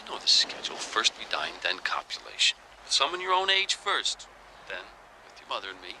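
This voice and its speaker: A wealthy, sinister father from a horror film. His voice is sharp and creaky, sitting slightly high, and he gives instructions formally and with measure. There is faint noise behind him. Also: huge pitch swings, brisk, heavily acted, rough recording. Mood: sinister, measured.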